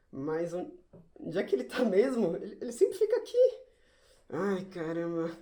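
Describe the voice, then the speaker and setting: A woman's voice: mid-range voice, a little mellow, close to an everyday voice. A woman acting as a sulky, easily flustered schoolboy in an amateur audio drama, stammering with exasperation. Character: whiny, sulky schoolboy who hates science, easily flustered.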